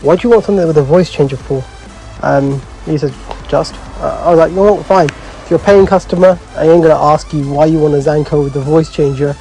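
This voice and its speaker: Slangy, mellow-voiced man chatting casually. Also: a little high, brisk, rough recording. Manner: slangy, swearing, bemused, conversational. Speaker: host. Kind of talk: casual chat, storytelling. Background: background music.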